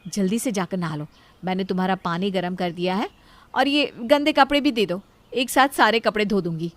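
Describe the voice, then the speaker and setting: A woman voicing a caring, anxious elder sister-in-law in a moral story, gentle and pleading. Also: mid-range voice, normal speed, no room sound, close to an everyday voice. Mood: fussing, concerned. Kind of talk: Hindi moral story character dialogue.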